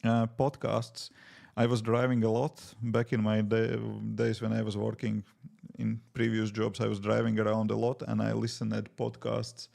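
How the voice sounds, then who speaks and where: A man's voice: fairly low pitch, monotone, moderate pace, gravelly, a little mellow. A man from Latvia speaking English with a Latvian accent, chatting casually on a live coding stream and thinking aloud with many fillers. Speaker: app developer streaming live. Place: home desk.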